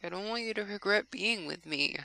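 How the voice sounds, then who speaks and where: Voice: medium pitch, a little fast, gravelly, pushed and bright. An actor voicing a shy, anxious, bookish teenage boy from the 1950s in a comic dub, hesitant and stammering. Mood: nervous.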